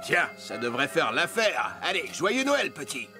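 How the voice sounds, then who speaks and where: High-voiced cartoon market seller. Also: male voice, sing-song, unhurried, music in the background. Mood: cheery, brisk. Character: offhand adult Christmas market tree seller. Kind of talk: children's cartoon acting.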